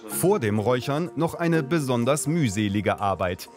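Male voice-over for a food report, animated pitch, music underneath. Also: moderate pace. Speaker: documentary narrator. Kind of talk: scripted narration.

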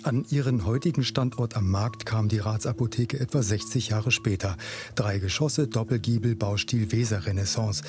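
A man narrating a TV report in an even, informative voice-over. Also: fairly low pitch, moderate pace. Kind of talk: scripted TV narration. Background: music.

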